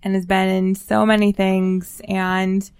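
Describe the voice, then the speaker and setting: Woman cohosting a podcast, clean smooth voice, normal pitch, just chatting. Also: level tone, slow pace, a little mellow. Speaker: podcast co-host. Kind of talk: casual chat.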